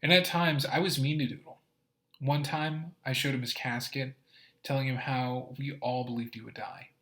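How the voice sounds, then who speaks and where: A male teacher reads a short story aloud for his students. His mid-pitched voice swells and softens with the text, giving the narration plenty of feeling. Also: moderate pace. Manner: expressive, rising and falling in loudness.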